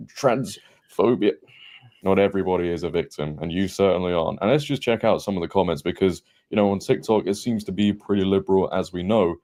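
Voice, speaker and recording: A man with a very deep, dark and mellow voice narrates a scripted commentary video. His delivery is sarcastic and mocking throughout. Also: brisk. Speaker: commentary channel host.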